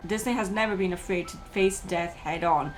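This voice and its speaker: Woman narrating over loud-ish background music. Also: fairly low pitch, normal speed, poor audio. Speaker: video essay host. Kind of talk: scripted video essay narration.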